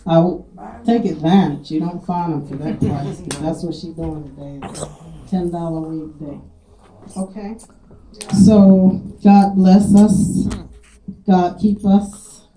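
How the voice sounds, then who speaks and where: A woman speaking casually and warmly to a gathering of friends and guests, thanking them and encouraging them. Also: fairly low pitch, takes it slowly, echoey room, a little hiss or hum, rough recording. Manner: heartfelt, folksy and encouraging. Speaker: event host thanking guests. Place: community event with guests.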